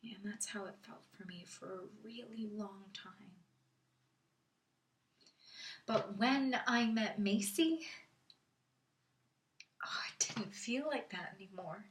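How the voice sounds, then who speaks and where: Actress as slow, confessional young woman in echoey room. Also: mid-range voice, slight background noise. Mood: hushed, fragile. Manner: halting, confessional, swinging from hushed murmurs to emphatic bursts. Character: Jen, vulnerable young woman confessing painful intimate memories. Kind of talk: theatrical dramatic monologue. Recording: roomy echo, distant microphone.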